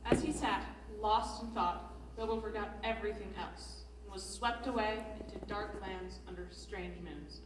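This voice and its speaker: A woman's voice: medium pitch, normal speed. A woman narrating a scripted story as part of a readers theatre. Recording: distant mic, echoey room, faint noise.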